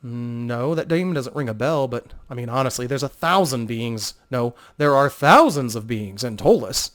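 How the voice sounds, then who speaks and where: A man's voice: medium pitch, animated, moderate pace, a bit bright, natural voice. A man voicing a charming, well-travelled bard, gallant and flirtatious, in a dramatic fantasy audiobook reading. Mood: easygoing.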